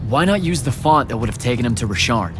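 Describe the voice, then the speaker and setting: A young actor playing a wisecracking young battlemage in a video game, stammering and quick to joke. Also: male voice, mid-range voice, animated, background noise, lightly acted. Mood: wry. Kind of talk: video game cutscene acting. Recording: game audio with orchestral score.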